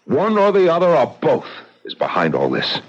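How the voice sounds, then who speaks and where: An actor playing a blunt, forceful middle-aged businessman and widower in a vintage radio mystery. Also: on the high side, huge pitch swings, normal speed. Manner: blunt and forceful, speaks his mind. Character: blunt, tough-minded male businessman widower. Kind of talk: vintage radio drama acting. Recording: dull old radio broadcast.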